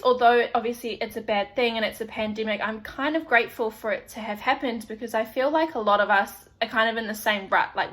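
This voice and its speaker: A young woman with a New Zealand accent talks casually to her viewers. She rambles and restarts, dropping plenty of "like"s, in a voice that sits a little on the high side. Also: a bit bright. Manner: chatty, rambling, frequent "like" and restarts. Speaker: vlogger. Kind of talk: casual chat to camera.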